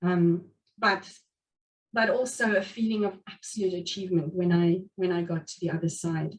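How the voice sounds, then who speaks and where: A woman's voice: on the low side, fairly even intonation, normal speed. Afrikaans-native woman, middle-aged, sick with covid, earnest speaker, distant mic. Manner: earnest, reflective, many restarts and fillers. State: has covid. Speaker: guest speaker. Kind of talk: motivational talk at a meetup.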